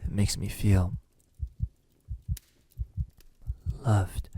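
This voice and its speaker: Man acting as possessive male vampire, low soft voice, dark and mellow tone, hesitant, tender, intimate ASMR roleplay. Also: level tone, slight background noise. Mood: tender, wistful. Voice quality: breathy, soft, husky. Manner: slow, hesitant, halting, tender. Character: lovesick, possessive centuries-old male vampire, gentle yet desperate. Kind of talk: intimate ASMR roleplay, often dropping into whisper.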